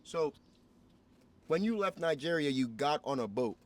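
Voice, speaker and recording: A man from Ghana hosting a casual interview for his vlog, excitable and profane, often cutting in. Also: on the high side, unhurried. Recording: distant mic with background noise.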